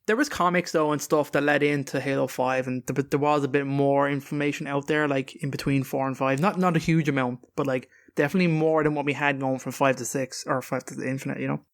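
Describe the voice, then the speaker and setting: A man's voice: medium pitch, fairly even intonation, fast, few pauses. A man hosting a podcast, talking casually and informally with emphatic opinions. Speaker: podcast host.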